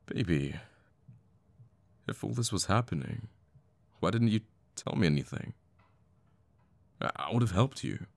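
An actor playing a conflicted, protective assassin boyfriend in an intimate ASMR audio roleplay, speaking tenderly and haltingly with pauses. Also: male voice, sits low, animated, creaky, dry recording, heavily acted. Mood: alarmed, hurt.

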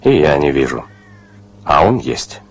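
A man's voice: a bass voice, sing-song, a little slow, husky, heavily acted. An actor playing a dry, matter-of-fact man in a film comedy.

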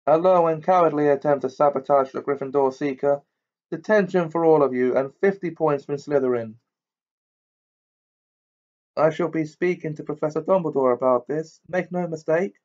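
Brief: storybook headmistress type, older woman, icy fury, voiced by a male reader, pitched well up. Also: moderate pace, darkish tone, natural voice. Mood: furious. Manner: indignant, clipped scolding. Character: stern furious older female professor. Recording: muffled lo-fi recording.

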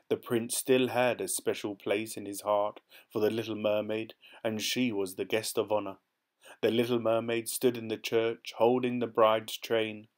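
A man calmly reading a fairy tale aloud from a storybook. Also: lowish voice. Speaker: storybook reader. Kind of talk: gentle read-aloud storytelling.

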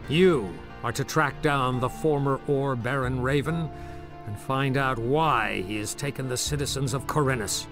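An actor playing a calm, authoritative elder water mage, speaking in a measured, formal way in a video game. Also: male voice, mid-range voice.

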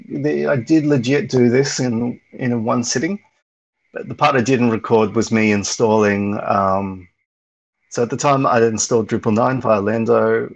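Man with a gravelly, mid-pitched voice, presenting a tech talk at an online meetup, loose and rambling. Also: rough recording. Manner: rambling, lots of ums and restarts. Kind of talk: casual conference talk over slides.

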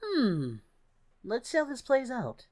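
A man's voice: very high-pitched, wildly swooping pitch, slow pace, darkish tone. A man hosting a reaction video with casual commentary, speaking in character as an anime parody hero.

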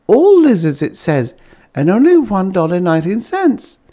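Audiobook wife played by a man: high, wildly lilting, wide-eyed, gushing and adoring. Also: wildly swooping pitch, moderate pace, dark tone. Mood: wondering. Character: chirpy, adoring young pioneer wife in a story.

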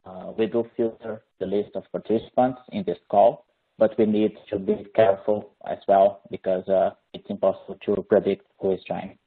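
A man's voice: on the low side, breathy edge. A man in his thirties from Brazil with a Brazilian Portuguese accent, presenting a technical engineering webinar in a hesitant, friendly way with many fillers. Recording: phone-like narrowband audio.

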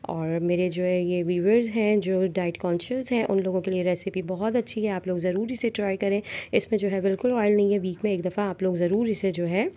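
A woman hosting a home cooking channel, talking viewers through a recipe step by step in a steady voice-over with frequent fillers. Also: mid-range voice, fairly quick. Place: home kitchen.

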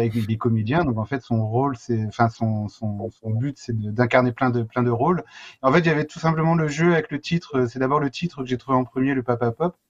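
A man's voice: mid-range voice, lo-fi sound. A middle-aged man, a guest author explaining casually over a video call. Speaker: guest comic book author. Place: indoor room with bookshelves, video call.

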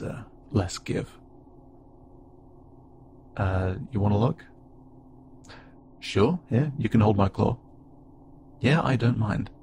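A man playing an awkward, gentle insect-like alien pilot who speaks haltingly and unsure of himself, in an intimate audio roleplay. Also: fairly low pitch, heavily acted. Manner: hesitant, halting, stammering and self-doubting. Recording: clearly noisy, with ambient sound bed.

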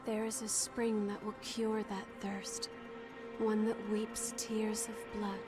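A woman acting a soft, calm, mysterious young woman in a video game dub. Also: medium pitch, slow pace, over music, close to an everyday voice. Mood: hushed, solemn.

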